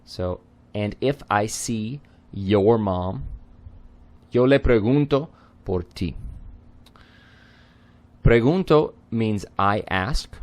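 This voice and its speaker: Lesson host: man, low voice, slow unhurried delivery, non-native Spanish, relaxed explainer with frequent ums. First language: not Spanish. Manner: relaxed, filler-heavy, explains word by word. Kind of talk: casual lyric translation lesson.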